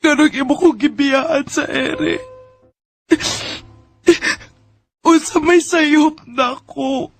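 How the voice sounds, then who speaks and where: A woman's voice: high-pitched, steady tone, unhurried. A woman playing a heartbroken young woman in a Filipino radio drama, speaking in an emotional, sighing way. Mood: anguished, crying. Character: heartbroken young woman jilted on her wedding day. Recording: music under the voice.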